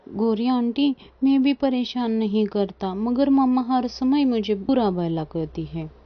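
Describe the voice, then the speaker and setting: A woman's voice: fairly high pitch, a little slow, pitched above the performer's own voice, lightly acted. A woman voicing a cheeky, wheedling little boy bird in a children's cartoon, childlike and pleading. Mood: sly. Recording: dull lo-fi sound.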